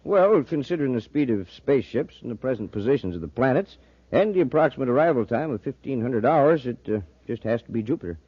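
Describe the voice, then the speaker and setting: Casting: eager American amateur whiz, husky, lively and quick, folksy radio-drama helper, dull vintage sound. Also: male voice, medium pitch, no room sound. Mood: eager, modest. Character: eager, folksy male amateur with uncanny math knack. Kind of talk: 1950s radio serial acting. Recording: dull 1950s radio recording.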